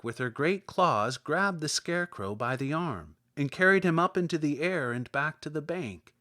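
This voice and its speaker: Animated male storybook narrator. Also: a little high. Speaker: audiobook narrator. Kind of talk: expressive children's storybook narration.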